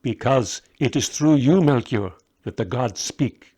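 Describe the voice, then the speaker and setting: A man's voice: mid-range voice, lively intonation, a little slow, mellow, husky. A man as Balthazar, a solemn aging magus. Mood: reverent. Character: fatalistic aging male magus, devoted and exclamatory.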